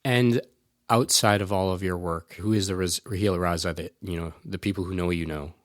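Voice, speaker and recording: Enthusiastic low-voiced man hosting a podcast. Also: fairly even intonation, normal speed. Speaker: podcast host. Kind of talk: podcast intro and interview.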